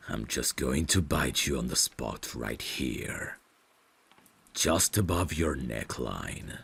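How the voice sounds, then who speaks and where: A man playing a devoted, courteous vampire butler in an ASMR audio drama, speaking formally and gently in a hushed, gravelly voice that slips toward whispers. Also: rumbling low, slight background noise, exaggerated voice. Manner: slow, formal, gentle, deferential.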